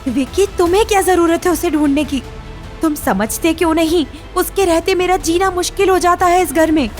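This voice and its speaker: A resentful young woman of the house, played by a female actor, talks in a very high, girlish pitch. She is defensive and complaining, in an audio drama with soft music behind her. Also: moderate pace. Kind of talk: Hindi audio story drama. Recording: background music under the voice.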